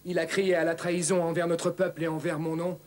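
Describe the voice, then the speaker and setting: A man's voice: fairly high pitch, level tone, fairly quick, darkish tone, natural voice. A man playing an earnest, honourable young knight in a cartoon, speaking formally. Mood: regretful. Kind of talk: dubbed cartoon acting.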